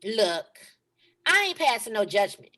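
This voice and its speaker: An actress at a table read playing a brash, slangy young granddaughter. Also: female voice, mid-range voice, sing-song, unhurried, pushed and bright, exaggerated voice. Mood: sassy. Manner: sassy, slangy.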